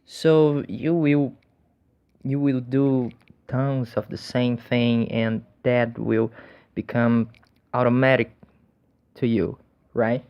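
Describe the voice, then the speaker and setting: A young man talks slowly and loosely in English, stumbling over restarts and doubled words. His voice sits at a middling pitch, and the recording sounds dull and lo-fi. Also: level tone, clean tone. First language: Portuguese. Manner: hesitant, many restarts and repeated words. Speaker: language learner sharing tips. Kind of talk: casual talk to camera.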